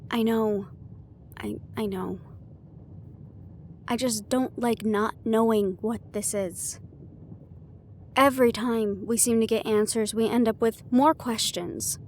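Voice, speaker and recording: Woman acting weary, earnest young female investigator, high voice. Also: takes it slowly, pauses often, a little mellow, a little hiss or hum. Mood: frustrated, weary. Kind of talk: fantasy audio roleplay acting.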